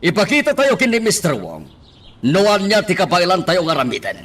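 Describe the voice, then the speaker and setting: An actor playing a hot-tempered, commanding boss in a radio drama. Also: male voice, high-pitched, huge pitch swings, a little fast, a bit bright, far from the mic, heavily acted.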